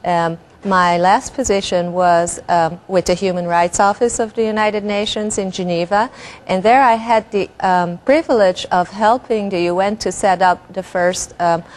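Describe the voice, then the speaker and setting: A woman's voice: medium pitch, steady tone, normal speed. A woman from Belgium, answering interview questions in an earnest, articulate and considered way. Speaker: interview guest, nonprofit executive director.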